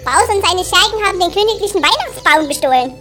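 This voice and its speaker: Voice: very high-pitched, animated, fast, bright, forceful tone, full character voice. A performer playing a small, indignant, chirpy mushroom creature in an audio play. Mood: informative. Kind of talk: fan audio play acting. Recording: music behind the voice.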